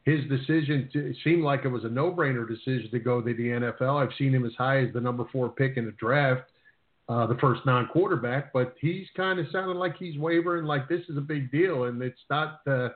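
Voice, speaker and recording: A thin, phone-like recording carries a man's voice at an average pitch. He is a sports radio host. He sets up questions for a guest in a casual, conversational style. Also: brisk. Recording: tinny phone-like narrow band.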